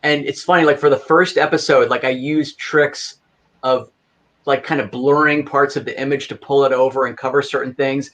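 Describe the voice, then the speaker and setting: A man's voice: medium pitch, fairly even intonation, moderate pace, a bit bright. A man chatting casually as a guest, earnestly explaining his process in detail with many filler words. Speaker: fan audio comic creator, guest.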